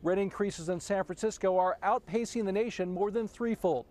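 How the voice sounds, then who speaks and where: Male news reporter, fairly high voice. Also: a little mellow. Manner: measured newsreader delivery. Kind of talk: scripted news narration.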